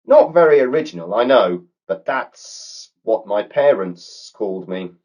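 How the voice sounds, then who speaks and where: A man doing a high whiny talking snake. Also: expressive, a little slow, darkish tone, dull audio. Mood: chatty. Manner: whiny, pleading, hissing. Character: fussy talking male snake with a crown.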